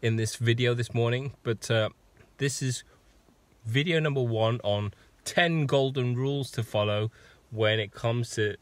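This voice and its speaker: Man, hoarse and husky from a cold, slow rambling coach. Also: mid-range voice, dry recording. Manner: rambling, with many ums and restarts. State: hoarse with a sore throat and cough. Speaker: fitness coach. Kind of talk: casual live video talk.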